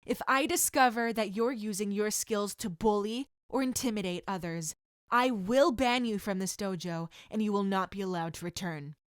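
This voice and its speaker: A woman's voice: medium pitch, normal speed, no echo, studio quality, lightly acted. A woman voicing a strict, regal martial arts instructor, speaking in a formal, commanding way. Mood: stern warning.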